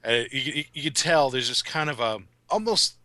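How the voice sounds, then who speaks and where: A man's voice: mid-range voice, expressive, moderate pace, pushed and bright, no echo. A man talking in a casual review chat, with a wry, conversational manner. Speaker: podcast guest reviewer.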